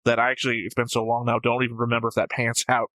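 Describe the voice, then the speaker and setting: Man, bright edgy tone, casual discussion, argumentative, rambling, dry recording. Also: medium pitch, completely flat delivery, rapid-fire, dry recording. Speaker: reaction show co-host.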